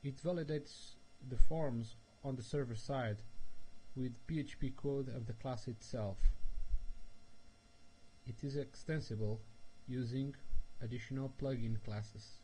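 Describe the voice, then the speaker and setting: A man, a developer giving a recorded technical presentation in slow, careful speech with frequent hesitations. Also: medium pitch, steady tone, dark tone, a little hiss or hum, rough recording. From Portugal. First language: Portuguese. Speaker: software developer presenting a tutorial.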